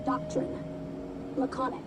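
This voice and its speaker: A woman's voice: unhurried, slight background noise, natural voice. A woman in a video game voice sample, reading out isolated words one at a time.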